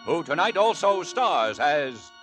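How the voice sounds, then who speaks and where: High-pitched man announcing over music. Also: very animated, slow. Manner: projected, theatrical. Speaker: radio announcer. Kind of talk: dramatic 1940s radio announcing.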